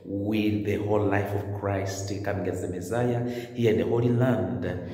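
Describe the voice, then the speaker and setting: A man's voice: fairly low pitch, fairly even intonation, unhurried, mellow. A middle-aged man giving an earnest homily to a phone held at arm's length, repeating his phrases, in a stone chapel. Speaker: priest. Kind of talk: video homily. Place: stone-walled chapel indoors. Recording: echoey chapel with long reverb.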